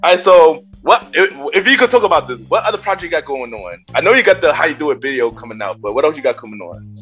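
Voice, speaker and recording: Fast-talking male podcast host, slightly high voice. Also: big pitch swings, fairly bright tone, husky, soft background music, low-quality recording. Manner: agreeable, repeats words and phrases. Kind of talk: casual interview chat.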